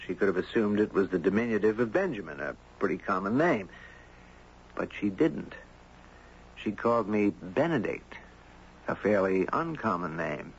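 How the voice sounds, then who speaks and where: A man playing a courteous, curious storyteller, gentle, thoughtful and well-spoken, in a muffled, noisy 1970s radio drama. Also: lowish voice, lively intonation, normal speed, breathy edge. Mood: puzzled, intrigued. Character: courteous, curious man, narrating his own strange tale.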